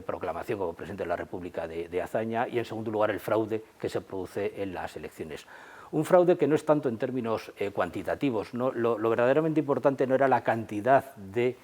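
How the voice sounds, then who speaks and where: A man with an accent from Spain, speaking in a halting, emphatic academic manner in an unscripted history discussion. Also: fairly low pitch, darkish tone. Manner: halting, emphatic, academic phrasing with repeated words. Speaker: presenter and discussant.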